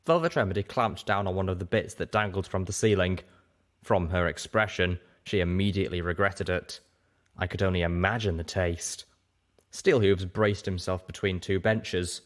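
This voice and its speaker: A man's voice: sits low, moderate pace. A man narrating a scripted story as a dramatic audiobook reader.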